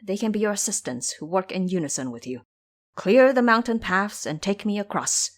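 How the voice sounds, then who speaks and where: A woman playing an earnest, fretful male monk master in an audio drama, speaking in a measured, admonishing way. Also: mid-range voice, expressive, lightly acted. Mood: earnest, coaxing.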